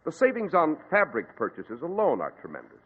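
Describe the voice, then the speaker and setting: A man's voice: fairly high pitch, huge pitch swings, brisk. An American man working as a 1940s radio announcer, with a formal, polished salesman's delivery. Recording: husky, tinny 1940s radio broadcast, reverberant.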